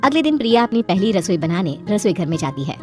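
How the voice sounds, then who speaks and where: Woman narrator, spooky cartoon story, lively pitch, loud music behind. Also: quick pace. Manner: dramatic, suspenseful telling.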